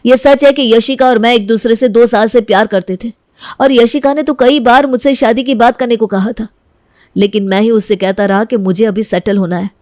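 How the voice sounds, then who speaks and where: A woman's voice: fairly high pitch, fairly even intonation, moderate pace, a little mellow, no echo, close to an everyday voice. A woman as an earnest, protective young man, dry sound. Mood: protesting. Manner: insistent, earnest. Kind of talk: acted dialogue in Hindi moral story cartoon.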